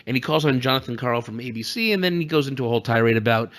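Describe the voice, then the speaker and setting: A man's voice: medium pitch, racing, fairly bright tone. A man co-hosting a podcast with casual, joking political commentary full of teasing asides. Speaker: podcast co-host.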